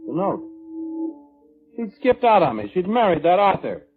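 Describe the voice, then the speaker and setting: A man's voice: high-pitched, big pitch swings, moderate pace. A man acting in a 1940s radio drama, playing a violent, self-justifying man who defensively recounts his grudge. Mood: angry, agitated. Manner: defensive, blustering. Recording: muffled old radio broadcast, music underneath.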